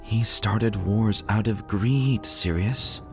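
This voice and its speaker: A man voicing a gentle, sorrowful female pony ruler, an older aunt, speaking in a solemn, measured way in a dramatic reading. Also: lowish voice, faint music behind. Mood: gently firm. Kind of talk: dramatic fan fiction reading.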